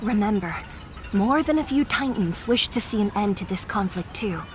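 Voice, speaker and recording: A woman's voice: medium pitch, expressive, moderate pace, a little hiss or hum, natural voice. A woman playing a perky, outgoing female monster ally in a video game, bubbly and theatrical. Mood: confiding. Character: perky, outgoing female Digimon, a helpful moderate Titan. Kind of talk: English video game voice acting.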